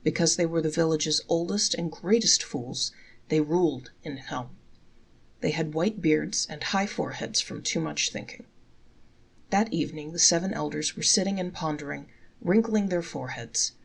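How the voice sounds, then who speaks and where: A woman reading folktales aloud in a measured, articulate storybook narration. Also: low-pitched, creaky, breathy edge.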